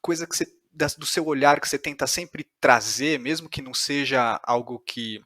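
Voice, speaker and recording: A man's voice: mid-range voice, normal speed, pushed and bright, dry recording, clean studio sound. A Brazilian man interviewing casually, tentative and hesitant as he keeps rephrasing what he asks. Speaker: podcast interviewer.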